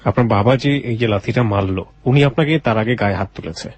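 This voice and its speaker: A man's voice: on the low side, brisk. A man hosting a radio interview, asking probing follow-up questions.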